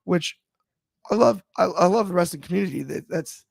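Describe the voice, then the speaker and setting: Man, slightly raspy, higher voice, dry sound, thoughtful wrestling chat with restarts. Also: normal speed, no echo. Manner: thoughtful, frequent restarts and fillers. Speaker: wrestling podcast panelist. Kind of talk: casual discussion.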